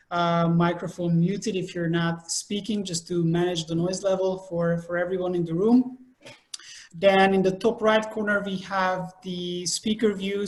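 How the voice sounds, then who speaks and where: High-voiced man presenting on a video call. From Hungary. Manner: steady, explanatory, with fillers. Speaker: e-learning facilitator. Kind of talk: webinar presentation.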